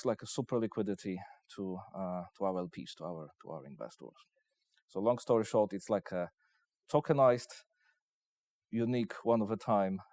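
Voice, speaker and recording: A man with a Polish accent giving interview answers as he pitches his investment company, in hesitant, piecemeal phrases. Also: a little mellow, no echo, clean studio sound. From Poland.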